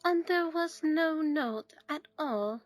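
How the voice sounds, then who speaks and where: A woman's voice: sits high, lively intonation, takes it slowly, no room sound, soft background music. A woman acting a stern older schoolteacher in an audio drama, clipped, formal and no-nonsense.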